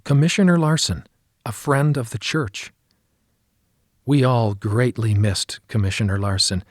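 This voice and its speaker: Slightly husky male audiobook narrator. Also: medium pitch, a little slow, no echo, clean studio sound. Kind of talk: scripted memoir narration.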